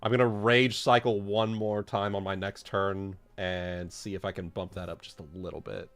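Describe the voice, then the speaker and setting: A man's voice: fairly low pitch, flat delivery, moderate pace. A man chatting casually as a player in a tabletop roleplaying game. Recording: dry voice with background music. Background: music.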